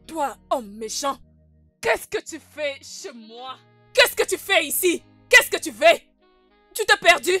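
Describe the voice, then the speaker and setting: A woman's voice: high-pitched, very animated, slow pace, pushed and bright, no room sound, soft background music, exaggerated voice. A woman playing a fiery, defiant village mother who protects her son, combative and indignant, in a dubbed melodrama.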